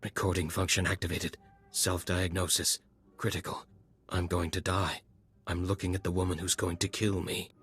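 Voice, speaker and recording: Husky, anxious cyborg henchman. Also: low voice, level tone, moderate pace, a bit bright, heavily acted. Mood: grim, mechanical. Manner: anxious, clipped, machine-like status reports. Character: nervous male cyborg henchman, pleading, half machine. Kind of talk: video game voice acting. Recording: music under the voice.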